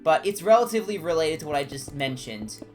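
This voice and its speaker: A man with a higher-pitched voice, chatting casually and discursively as a game streamer. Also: brisk, faint music behind. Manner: animated, discursive, jumping between tangents. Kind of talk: casual rambling livestream chat during gameplay.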